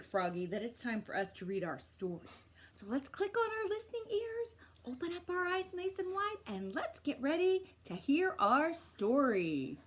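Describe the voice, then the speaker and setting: A woman hosting a children's storytime, reading aloud with expressive, encouraging, exaggerated delivery. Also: on the high side, husky. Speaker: library storytime host. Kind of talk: animated read-aloud for young children.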